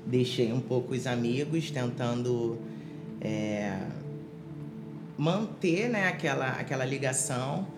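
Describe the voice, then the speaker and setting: A woman's voice: a bass voice, animated, slow. A woman from Rio de Janeiro, speaking, giving her personal testimony as a podcast guest with many fillers and restarts. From Rio de Janeiro, Brazil. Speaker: interview guest. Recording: echoey room with music behind.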